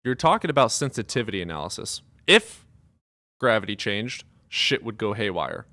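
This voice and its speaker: A man's voice: fairly low pitch, big pitch swings, normal speed, pushed and bright, no room sound. Blunt, impatient male debate host. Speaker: live stream debate host. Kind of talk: combative debate.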